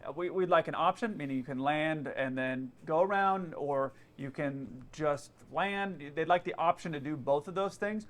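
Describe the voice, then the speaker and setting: A man's voice: a little high, moderate pace, hardly any pauses. A man giving casual, opinionated commentary, explaining aviation procedures in plain terms. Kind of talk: explanatory commentary on air traffic radio.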